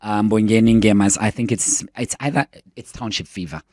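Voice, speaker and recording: A man's voice: lowish voice, moderate pace, a little mellow, no echo. A man hosting a radio interview in a studio, an engaged listener who keeps affirming his guest. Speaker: radio host.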